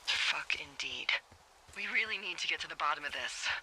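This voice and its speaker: An actress playing a wry, caring fire lookout in a video game, talking in a dry, conversational, sometimes hesitant way over a two-way radio. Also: female voice, sits low, animated, moderate pace, noisy background. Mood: guilty, wry. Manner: conversational, dry, hesitant when admitting things. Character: wry, caring adult female fire lookout, frank and guilty-hearted. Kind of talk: naturalistic video game dialogue.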